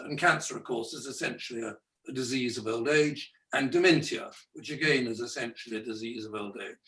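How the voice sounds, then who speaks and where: A man on a webinar panel, speaking in a measured, thoughtful way with frequent restarts, heard over a video call. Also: medium pitch, fairly bright tone, recorded from a distance. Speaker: conference panelist. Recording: echoey room, away from the mic.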